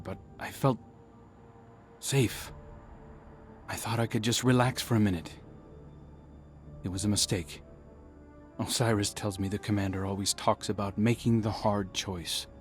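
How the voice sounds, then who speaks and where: A man's voice: on the low side, natural voice. A man playing an earnest young guardian. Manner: earnest, reflective, quietly defiant. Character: young male guardian, earnest and guilt-stricken. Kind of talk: video game cutscene dialogue. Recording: game score behind.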